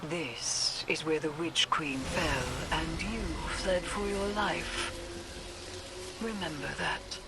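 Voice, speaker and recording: An actress playing a grave, stern witch in a video game, solemn and portentous, with formal measured diction. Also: low voice, heavy background noise. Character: grave, stern witch woman steeped in dark magic. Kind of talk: scripted video game voice acting.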